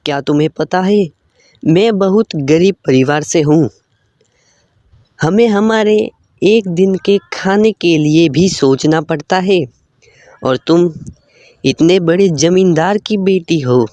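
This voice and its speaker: A humble young village man in a cartoon tale, voiced by the narrator. He talks modestly and hesitantly at a slow pace, in a dry close recording. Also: medium pitch, mellow, no echo, natural voice.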